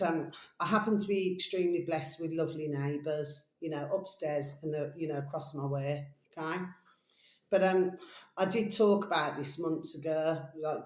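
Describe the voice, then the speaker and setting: A tired woman video blogger chatting casually and ramblingly to her viewers, pausing often and repeating pet endearments. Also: on the low side. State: mentally tired, drained. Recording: echoey, roomy sound.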